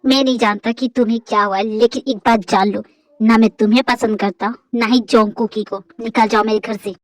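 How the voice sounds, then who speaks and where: A woman voicing an angry young husband in a drama, dropping her voice low and speaking harshly. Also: a little fast, a little mellow, no echo, close to an everyday voice. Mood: furious. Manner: harsh, cold and commanding. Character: tormented young wife, male role, man.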